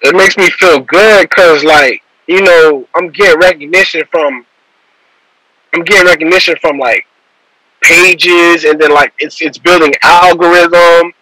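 A young man rapper on a video call, talking loose and slangy and trailing off mid-sentence. His voice sits a bit high for a man and sounds bright and forceful. The sound is close and dry. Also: steady tone, measured pace, dry recording, low-quality recording. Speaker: rapper guest. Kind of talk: casual interview talk.

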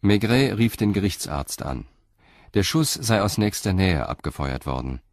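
A man narrating a crime story in a measured, sober and deliberate way. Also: low-pitched, very animated, dry recording, studio quality.

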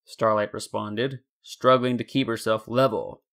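A man's voice: lowish voice, fairly even intonation, a little slow, gravelly, fairly bright tone. A man narrating a scripted story in a dramatic reading style. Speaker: narrator and fan fiction reader.

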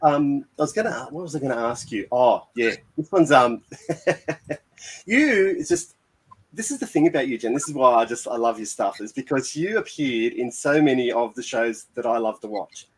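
Deep husky guy hosting a webcam chat, bouncy pitch, goofy and eager. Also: expressive, moderate pace. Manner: playful, eager, laughing between questions. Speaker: co-host. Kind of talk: casual video-call interview. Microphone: video call webcam.

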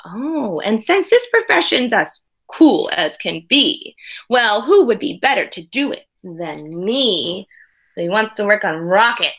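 A woman's voice: medium pitch, very animated, a little slow, fairly bright tone, husky. A woman in her thirties reading a picture book aloud as a teacher, expressively, with simple asides for children. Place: small room at home.

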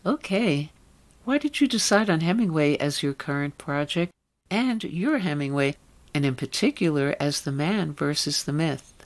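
A woman's voice: low-pitched, animated, moderate pace. A woman radio interviewer welcoming a guest and putting questions.

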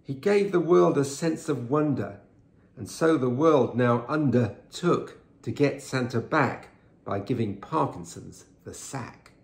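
Man in his sixties, crackly fry in the voice, rhyming story reading, roomy home recording. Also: medium pitch, measured pace. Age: 60s. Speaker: poem reader. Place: living room at home. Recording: roomy echo.

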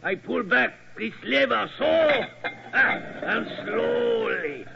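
An actor playing a mad, gloating villain, menacing and savouring each word, in 1940s radio drama. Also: male voice, very high-pitched, takes it slowly, vocal fry, exaggerated voice. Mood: gloating menace. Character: mad, gloating German villain luring victims to their doom. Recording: dull old radio recording.

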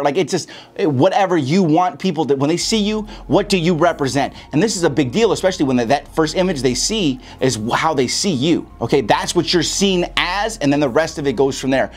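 A young American man presents an informal tutorial. He talks very quickly in a slightly high voice, with slangy, emphatic phrasing and frequent check-ins with the listener. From Chicago, United States. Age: young adult. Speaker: host of a music marketing video for rappers. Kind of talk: casual instructional talk to camera. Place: small home studio room, indoors.

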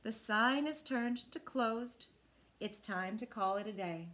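A woman in her thirties with an American accent, reading a picture book aloud to children with expressive, clearly phrased delivery. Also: a little high, takes it slowly, slight background noise. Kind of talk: children's read-aloud storytelling. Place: small indoor room.

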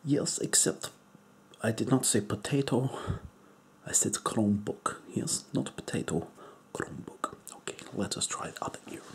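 A man doing a whispering, gravelly doctor. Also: medium pitch. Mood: deadpan. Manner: whispery, slow, deadpan joking. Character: eccentric crude foreign male doctor, deadpan. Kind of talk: comedic ASMR roleplay.

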